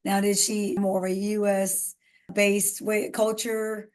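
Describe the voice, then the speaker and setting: A woman's voice: medium pitch, little pitch movement, takes it slowly, a little mellow. A woman co-hosting a podcast, talking earnestly and giving emphatic advice in a casual conversation. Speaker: podcast co-host.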